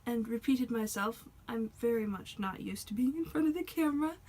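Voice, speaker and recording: Soft rambling woman vlogger. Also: a little high, lively intonation, fairly quick. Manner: rambling, self-conscious, soft-spoken with laughs. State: losing her voice. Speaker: doll hobby vlogger. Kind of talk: casual vlog talk.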